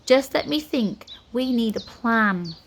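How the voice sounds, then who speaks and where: A woman's voice: on the high side, expressive, slow, full character voice. A woman voicing a bold, no-nonsense female adventurer in a storybook, blunt and commanding. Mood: firm.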